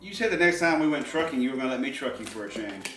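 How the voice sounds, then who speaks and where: A man with a mid-pitched voice trades casual, joking banter in a home vlog. He teases and wisecracks as he talks. The sound is roomy and a little away from the mic. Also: expressive, racing, fairly bright tone, far from the mic. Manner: teasing, wisecracking. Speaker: co-host of a home unboxing vlog. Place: indoors in a trailer home. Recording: roomy echo, off the mic, faint music.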